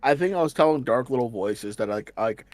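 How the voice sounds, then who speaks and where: A man's voice: mid-range voice, lively intonation, fairly quick, darkish tone, no echo, faint music behind. A man with a slight Southern accent, chatting casually as a stream guest and often restarting his sentences. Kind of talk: casual chat.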